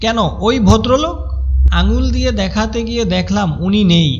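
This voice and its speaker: A man's voice: sits high, normal speed, dull audio, slight background noise. A man narrating a cartoon ghost story in a wry, chatty storyteller manner.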